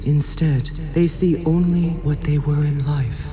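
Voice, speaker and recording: Slow, solemn otherworldly oracle. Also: female voice, low voice, fairly even intonation, warm tone. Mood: solemn, foreboding. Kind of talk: dark fantasy radio play acting. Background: music.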